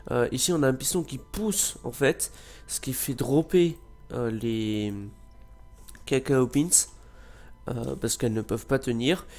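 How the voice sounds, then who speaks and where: A man's voice: medium pitch, unhurried, a little mellow, soft background music. A man with a cold giving casual, rambling gameplay commentary, hesitating often with filler sounds. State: has a cold. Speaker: gameplay video creator.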